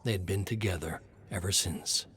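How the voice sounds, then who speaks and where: A man's voice: low-pitched, normal speed, background noise. A man reading a scripted horror story as its narrator.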